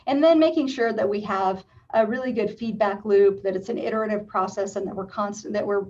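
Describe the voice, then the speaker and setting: A woman's voice: mid-range voice, darkish tone. Woman presenting over a distant lo-fi call. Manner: measured, frequent ums. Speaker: city staff presenter. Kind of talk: public meeting presentation. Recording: distant, dull lo-fi video meeting audio.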